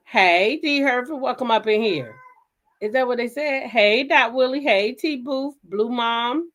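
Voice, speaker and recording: A woman's voice: fairly high pitch, a little slow, fairly bright tone. A woman hosting a casual live reaction stream, talking in a blunt, profane and emphatic way. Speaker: livestream host.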